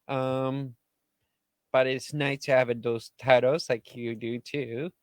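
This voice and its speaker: Podcast host guy, talks real slow and halting, dry sound. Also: medium pitch, flat delivery, no room sound. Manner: halting, with hesitant phrasing. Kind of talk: podcast interview.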